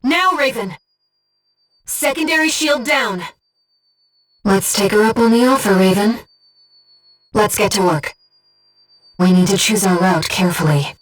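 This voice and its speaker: An actress playing a gentle, devoted female voice in a pilot's head, a composed and precise mission guide in a video game. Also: mid-range voice, unhurried, pauses often, far from the mic, close to an everyday voice. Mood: urgent. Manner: composed, precise, earnest delivery. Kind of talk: video game voice acting, tactical briefing.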